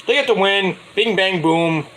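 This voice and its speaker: A man's voice: high voice, measured pace, a bit bright, slight background noise, low-quality recording. A man casually reviewing a wrestling show, rambling and correcting himself, with sarcastic asides while he fights off a cold. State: getting a cold, voice going. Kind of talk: casual solo review recap.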